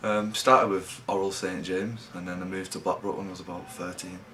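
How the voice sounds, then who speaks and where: Young rugby lad, deep monotone voice, mumbly answers, bit of hiss. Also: male voice. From England. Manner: low-key, halting, trails off. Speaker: rugby league player. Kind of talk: interview answers.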